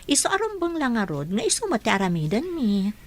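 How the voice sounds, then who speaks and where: A woman's voice: on the high side, wildly swooping pitch, a little fast. A woman acting a caring, dutiful young daughter in a radio drama.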